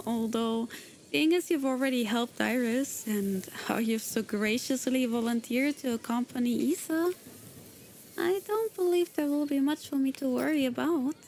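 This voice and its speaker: A woman's voice: high-pitched, a little mellow, slight background noise. A woman playing a composed, gracious guild leader. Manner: formal, measured, slightly aloof. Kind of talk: roleplay voice pack.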